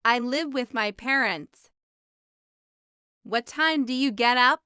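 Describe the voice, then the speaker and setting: A woman reading a scripted beginner language lesson of short questions and answers, with careful, even enunciation. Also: on the high side, takes it slowly, clear, resonant tone, dry recording, studio quality. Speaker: English language teacher.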